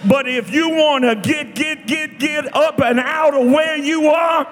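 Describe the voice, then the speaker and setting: An American man in his sixties preaching a fiery Pentecostal revival sermon, shouting and exhorting with repeated phrases. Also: very high-pitched, a little slow. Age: 60s. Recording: reverberant large hall, music behind.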